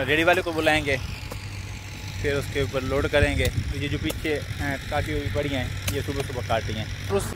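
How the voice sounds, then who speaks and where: A man's voice: a little high, background noise. A man in his thirties from Punjab, Pakistan, casually chatting to his viewers as he films himself in a farm field, pointing things out and laughing often. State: tired, out of breath after field work. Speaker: village vlogger. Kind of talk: casual chat, talking to viewers. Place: open farm field outdoors. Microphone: phone held at arm's length.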